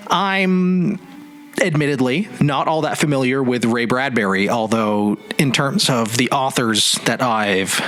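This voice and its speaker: A man's voice: mid-range voice, fairly bright tone. A man hosting a solo podcast in a casual, reflective and rambling way, often searching for words. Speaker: podcast host. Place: home office indoors. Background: music.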